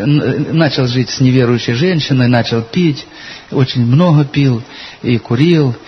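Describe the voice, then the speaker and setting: A man preaching a sermon in an earnest way, telling stories from his church and reading scripture aloud. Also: medium pitch. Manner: earnest, addresses listeners as brothers and sisters. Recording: dull lo-fi recording with faint hiss.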